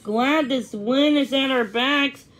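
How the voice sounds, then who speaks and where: A woman's voice: high-pitched, takes it slowly, a bit bright, full character voice. A woman voicing a small, impulsive young female puppy from a storybook, exclaiming eagerly. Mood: playful.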